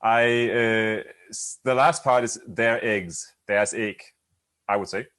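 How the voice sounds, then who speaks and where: A man's voice: on the low side, takes it slowly, fairly bright tone. A man on a casual video call, hesitant and repeating words while he searches for meaning.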